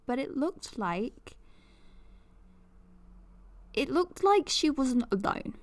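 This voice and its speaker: A woman's voice: high voice, sing-song, slow pace, no room sound, full character voice. An actress playing a young woman podcaster who talks in a confiding, nervous, self-deprecating way. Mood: anxious. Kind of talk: audio drama monologue.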